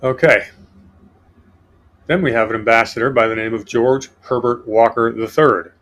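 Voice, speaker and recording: A man's voice: mid-range voice, fairly even intonation, normal speed, a bit bright. A man hosting a casual political podcast, reading from his notes in a sardonic way. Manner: sardonic, reads notes aloud. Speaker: podcast host.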